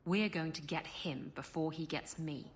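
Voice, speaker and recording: A woman's voice: deep voice, unhurried. An actress playing a roguish, scheming adventurer woman in a video game, with a playful, theatrical manner. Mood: sly, urgent.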